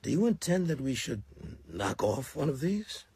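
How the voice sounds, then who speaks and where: An actor playing a solemn, careful crime boss in a radio play, speaking formally in a husky, gravelly voice. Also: male voice, mid-range voice, big pitch swings, darkish tone, no room sound, exaggerated voice. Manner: measured, formal wording.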